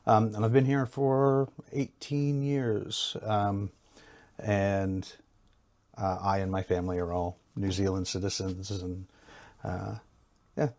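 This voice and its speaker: A middle-aged man with an American accent explains ideas like a lecturer, in a lowish voice, over a video call. He talks in a measured, hesitant way, with frequent ums and restarts. His delivery stays calm and steady. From Ohio, United States. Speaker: psychology lecturer, guest. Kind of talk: explanatory academic talk.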